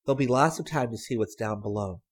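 A man voicing a cautious, polite teenage girl in a storybook, reserved and measured. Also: mid-range voice, breathy edge, close to an everyday voice. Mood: hesitant.

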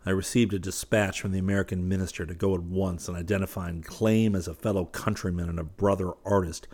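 Man playing an earnest, brisk wartime artist, low-pitched. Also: steady tone, a little mellow. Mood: savage, irritated. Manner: brisk, urgent.

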